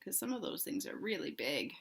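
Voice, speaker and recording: A woman's voice: fairly low pitch, sing-song, fairly quick, vocal fry, background noise. A woman reading a picture book aloud to young children, expressively, with simple explanations and questions to the listeners. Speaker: read-aloud storyteller for children.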